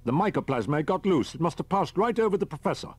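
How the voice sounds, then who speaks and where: A man voicing a brisk, gruff male army captain in an audiobook, clipped and matter-of-fact. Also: fairly high pitch, lively intonation, no room sound, voice pushed up. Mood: urgent.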